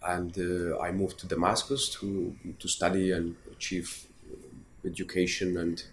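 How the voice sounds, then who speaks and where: A man from Syria who speaks Kurdish natively talks in a very low voice, answering slowly and reflectively with frequent fillers and restarts. He sounds like a guest in a casual interview, with faint ambient noise behind him. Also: little pitch movement. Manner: halting, thoughtful, many fillers and repeats. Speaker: actor guest. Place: festival interview room.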